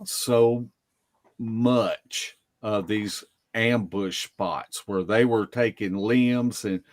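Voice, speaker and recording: A man telling stories casually as a podcast guest, in a halting, deliberate way. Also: fairly low pitch, fairly even intonation, no echo. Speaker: podcast guest, Bigfoot investigator. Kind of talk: casual storytelling.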